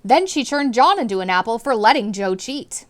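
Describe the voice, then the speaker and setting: A woman teacher reading aloud to children in an expressive storytime style, stressing key words dramatically and putting questions to her listeners. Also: fairly high pitch, normal speed.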